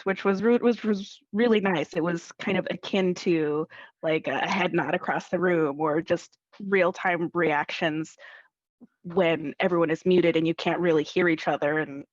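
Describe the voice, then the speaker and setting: A woman's voice: on the low side, dry recording. A woman actor reminiscing casually in an interview. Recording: dull, lo-fi close recording.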